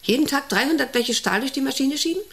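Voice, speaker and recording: An actress in a radio play as a domineering, eccentric factory director who rambles, commands and asks intrusive questions. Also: female voice, high voice, animated, fast, vocal fry, a bit bright, heavily acted. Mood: commanding, agitated. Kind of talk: German radio play acting.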